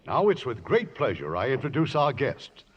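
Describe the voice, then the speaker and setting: A man's voice: mid-range voice, wildly swooping pitch, moderate pace, slightly rough. A man hosting a 1950s radio show, announcing from a script in a formal broadcast style. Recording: dull old radio, long hall reverb.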